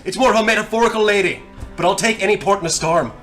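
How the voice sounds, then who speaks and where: A man's voice: sits high, rapid-fire, a bit bright. A man at a tabletop game voicing a pompous, vain, easily indignant adventuring lord. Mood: grandiose. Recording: music bed behind the voice.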